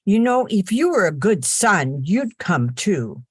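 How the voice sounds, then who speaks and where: Older lady doing a folksy no-nonsense farm sister, slow low voice that swings around, old radio drama. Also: sing-song, slightly rough, no echo, studio quality, heavily acted. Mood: exasperated, firm. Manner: folksy, firm. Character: sensible, sharp-tongued farm-raised sister of a gambler. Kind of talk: 1940s radio play acting.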